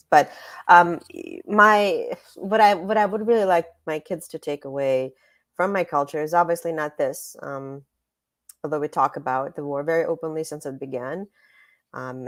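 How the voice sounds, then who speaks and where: A woman from Russia speaking as an author and podcast guest, reflective and thoughtful, with measured, sometimes hesitant phrasing. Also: low-pitched, dry recording. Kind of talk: reflective interview conversation.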